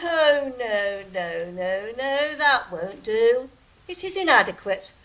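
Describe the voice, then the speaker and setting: A fussy gatekeeper creature played by a woman in an audio drama, high-voiced and unhurried. It is pompous and pedantic, lingering theatrically as its pitch swoops up and down. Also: sing-song, a bit bright, exaggerated voice, low-quality recording. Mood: indignant, fussy. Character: fussy, officious ticket-eating gatekeeper creature. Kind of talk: stage-style audio drama acting.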